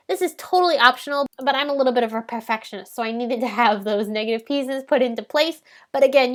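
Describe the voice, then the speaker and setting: A woman narrates a crafting tutorial in a calm, explanatory way, her voice stuffed up and nasal from a head cold. She speaks high and bright, with a clear ring to her tone. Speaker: card-making tutorial host. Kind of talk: step-by-step crafting voice-over.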